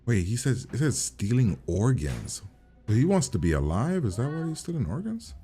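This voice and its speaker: A man's voice: medium pitch, huge pitch swings, normal speed, dark tone, soft background music. A man hosting a reaction video in a casual, blunt way, swearing now and then.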